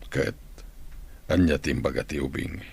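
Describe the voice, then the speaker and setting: A man playing a stern, proud elderly father in a radio drama, terse and gruff, with an Ilocano accent. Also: rumbling low, unhurried, a little mellow, heavily acted. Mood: guarded, probing.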